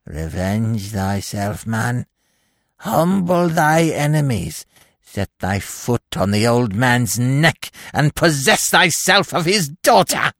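Gloating monster dwarf, wildly swooping, very slow and drawn-out, archaic gothic villain. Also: male voice, medium pitch, big pitch swings, a bit bright, dry recording, studio quality, voice pushed up, heavily acted. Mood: urging. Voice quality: screeching, gravelly. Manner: theatrical, drawn-out archaic speech. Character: gloating, mocking demonic dwarf monster, male.

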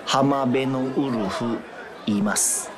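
A man's voice: mid-range voice, slow pace, crowd noise, noisy background, pitched below the performer's own voice, lightly acted. A man playing an indignant loser with a Kansai accent in a comic radio drama, ranting in exasperated rhetorical questions. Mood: sheepish, resigned. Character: indignant loser man ranting at his parallel-world selves.

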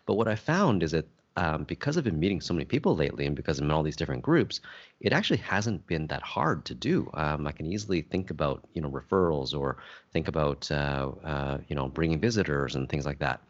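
A man's voice: extremely low pitch, lively intonation, brisk, dull audio. A man talking casually about his business networking groups, with many fillers and restarts. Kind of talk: casual conversation.

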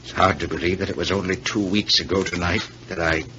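A man's voice: low voice, steady tone, brisk, pushed and bright. An actor playing a haunted, suspicious rich man, brooding and accusing, in 1940s radio mystery style. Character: haunted, suspicious wealthy man turning insistent. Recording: dull, hissy old radio recording.

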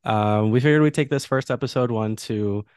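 A man's voice: medium pitch, moderate pace, a little mellow, smooth, no room sound. Congested young male co-host, loose jokey banter on a video call, dry sound. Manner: joking, rambling with fillers. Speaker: podcast co-host. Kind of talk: casual chat.

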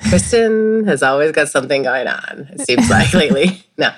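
Woman, low voice, congested, earnest and emotional, podcast co-host. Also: animated, normal speed. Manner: emotional, earnest, laughs easily. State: congested from illness. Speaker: podcast co-host, health and wellness coach. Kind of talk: casual podcast chat.

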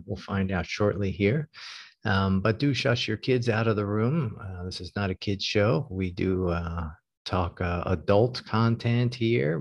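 A man's voice: lowish voice, dry recording, lo-fi sound. A man hosting a podcast, talking casually and earnestly with lots of filler words. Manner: frequent filler words, earnest and plain-spoken. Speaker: podcast host. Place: America.

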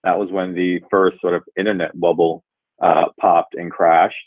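A man in his forties from Jamaica, a guest speaking in a measured, thoughtful way and often pausing to rephrase. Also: sits low, level tone, no room sound. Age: 40s. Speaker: guest, revenue executive. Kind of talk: reflective interview answers. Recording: tinny phone-like line, dry.

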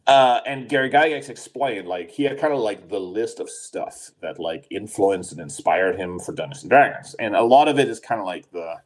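A man's voice: on the low side, animated, moderate pace, hardly any pauses. A man in his forties from Denmark, co-hosting a podcast in casual chat, rambling with many fillers and restarts. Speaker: podcast co-host.

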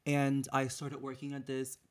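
A man's voice: mid-range voice, completely flat delivery, measured pace. A man in his twenties from Puerto Rico, co-hosting a podcast in playful, campy chat. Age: 20s. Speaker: podcast co-host. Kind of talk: casual chat.

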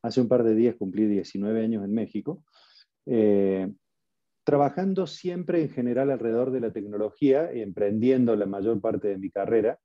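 A man's voice: lowish voice, moderate pace. A middle-aged man from Argentina with an Argentine Spanish accent, a podcast guest speaking in a wry, self-deprecating way. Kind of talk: casual interview chat. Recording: dry, muffled audio.

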